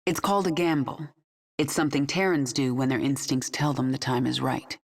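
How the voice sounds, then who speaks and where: A woman's voice: a bass voice, fairly even intonation, a little fast, breathy edge, full character voice. An actress playing a commanding, determined alien swarm queen in a video game, speaking with steely authority. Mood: composed, dry. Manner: authoritative, resolute. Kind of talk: video game cinematic acting. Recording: game music behind.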